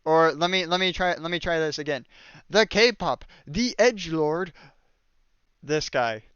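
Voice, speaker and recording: Higher-pitched man in casual group banter. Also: measured pace, a bit bright, clean tone, highs cut off. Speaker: host of a group tier-list discussion. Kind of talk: casual joking group chat.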